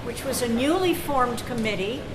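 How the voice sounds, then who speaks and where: A woman hosting an informal club meeting, making halting, unscripted announcements with joking asides, picked up by a camera microphone. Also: on the high side, lively intonation, crowd noise. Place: indoor club meeting room. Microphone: camera microphone at a distance. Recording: echoey room, clear background noise, camera microphone.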